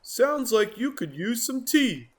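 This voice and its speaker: A woman voicing a friendly, easygoing male monkey neighbour in a children's storybook, upbeat and gently coaxing. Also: fairly high pitch, big pitch swings, takes it slowly, voice pushed up. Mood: helpful.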